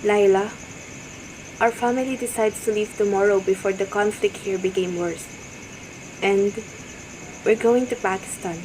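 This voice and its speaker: A woman's voice: mid-range voice, fairly even intonation, normal speed, long pauses, natural voice, low-quality recording. A woman playing an earnest, devoted young man in love in an amateur radio drama. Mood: earnest, reassuring. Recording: faint noise with chirping crickets.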